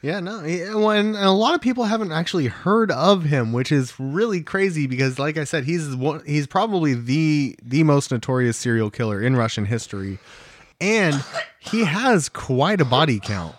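A man's voice: on the high side, animated, moderate pace, no echo, clean studio sound. A man hosting a podcast in an easygoing, chatty way, chuckling along. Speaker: podcast host. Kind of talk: casual podcast chat.

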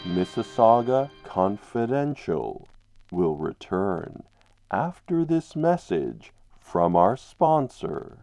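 A man's voice: on the low side, sing-song, slow, warm tone, soft background music. A man narrating a crime radio drama in an ominous, theatrical manner. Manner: ominous, theatrical, addresses characters directly.

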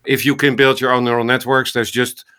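A middle-aged man with a Dutch accent talks at length in an interview, working through his points with small restarts. His voice is bright and forward, set at an ordinary pitch for a man. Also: flat delivery, a little fast. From Netherlands. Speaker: guest, ophthalmologist and AI researcher. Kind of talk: thoughtful interview answers.

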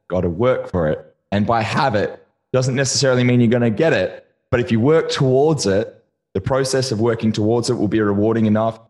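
A low-voiced Australian man in his early thirties, chatting as a podcast guest. His voice is a touch croaky from singing late the night before. He speaks with earnest enthusiasm. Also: darkish tone. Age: 30s. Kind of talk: casual interview chat.

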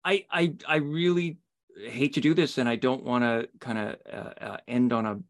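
Mid-pitched man hosting an interview. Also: fairly even intonation, normal speed. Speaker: podcast host, interviewer. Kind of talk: conversational interview.

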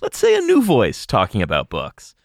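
Lively, jokey male podcast host. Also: on the low side, normal speed, creaky, no room sound, clean studio sound. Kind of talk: casual podcast chat and sign-off.

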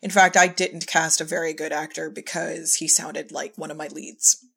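Lady w/ a raspy creaky voice just chatting on a podcast, kinda low. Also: level tone, normal speed, fairly bright tone. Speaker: podcast guest, audio drama writer and director. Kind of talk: casual conversational discussion.

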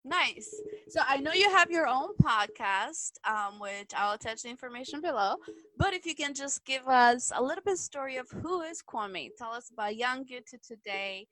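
A woman's voice: sits high, lively intonation, moderate pace, fairly bright tone, no room sound, rough recording. A woman hosting a podcast interview, talking in an upbeat, chatty way with some fillers, her voice a little off from being sick. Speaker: podcast host.

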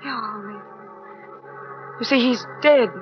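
A woman acting in a 1940s radio drama as a gentle, newly widowed young mother, speaking politely and hesitantly. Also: a little high, slow, faint music behind. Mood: sorrowful. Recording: muffled 1940s radio broadcast recording.

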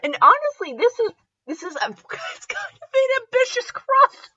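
A woman hosting a podcast with casual, humorous review chat, rambling and halting as she goes, often giggly. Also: very high voice, wildly swooping pitch, bright, forceful tone, highs cut off. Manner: giggly, frequently breaking into laughter; rambling, halting self-interrupted delivery. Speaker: podcast host.

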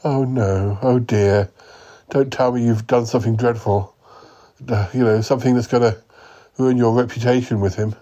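A man's voice: mid-range voice, steady tone, normal speed, mellow. A man with a summer cold, hosting a comedy podcast with fretful asides. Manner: fretful, sighing "oh dear" asides. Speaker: podcast host. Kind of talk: comedy podcast monologue and sketch.

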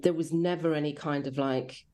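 A woman from rural Devon with a slight stammer, answering interview questions in a thoughtful, halting way. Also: low voice, fairly even intonation, warm tone. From rural Devon, England. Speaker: podcast guest, drama commissioning editor. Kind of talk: reflective casual interview answers.

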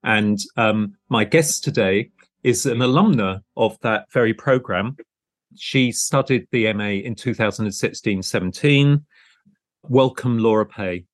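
Low-voiced man hosting an interview podcast. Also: measured pace, dry recording. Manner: rambling, discursive, hesitant rephrasing. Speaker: podcast host and art business lecturer. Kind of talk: conversational interview.

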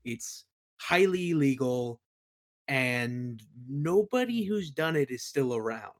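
Casual, halting man with a mid-pitched voice. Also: expressive. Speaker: interview guest, game world creator. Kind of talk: casual chat, explaining own creative work.

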